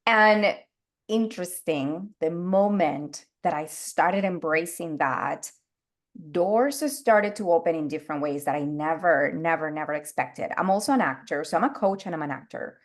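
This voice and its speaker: A woman in her forties from Venezuela talks openly on a podcast, with a lowish voice. She speaks in a candid, expressive way and acts out her own inner thoughts in quick quoted asides. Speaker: guest, life coach and actor. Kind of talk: casual podcast conversation.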